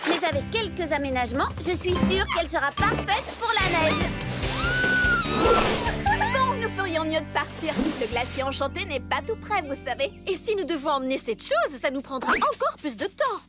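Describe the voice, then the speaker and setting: An earnest young animal-girl from a children's cartoon. The voice sits extremely high and is eager and sincere, with loud music playing underneath. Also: big pitch swings, normal speed. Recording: music behind the voice.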